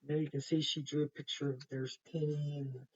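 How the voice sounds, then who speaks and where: A woman's voice: low voice, little pitch movement, a little fast, a little mellow, highs cut off, slight background noise. A woman kindergarten teacher reading a picture book aloud to young children and explaining it simply as she goes.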